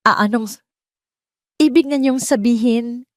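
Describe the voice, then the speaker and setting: A woman's voice: fairly high pitch, measured pace, no room sound, studio quality, pitched above the performer's own voice, natural voice. A woman voicing a protective wealthy son in an audio drama, polite, grieving and restrained, with weighty words. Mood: remorseful.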